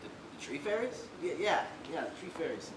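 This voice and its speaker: Woman acting a nervous stuttery kind man in a play, low voice, far from mic, noisy. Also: very animated, brisk. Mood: nervous, kindly. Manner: stammering, nervous, folksy. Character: shy, bumbling, kindly man in gray necktie. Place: stage in a roomy hall. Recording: distant, echoey, noisy stage recording.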